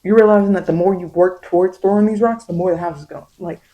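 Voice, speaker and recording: A woman's voice: lowish voice, fairly even intonation, fast, warm tone. A woman tarot reader giving spiritual guidance in an informal, ASMR-leaning monologue, speaking straight to the listener with emphatic, repeated phrasing. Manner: repetitive, emphatic phrasing addressed directly to the listener; informal vernacular speech.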